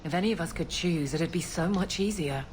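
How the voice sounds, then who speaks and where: An actress playing an earnest, guarded guard captain who talks haltingly about her feelings in a video game. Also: on the low side, darkish tone, a little hiss or hum, close to an everyday voice. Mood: vulnerable, resigned. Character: grown woman guard captain, earnest, awkward in love, frustrated. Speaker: game character.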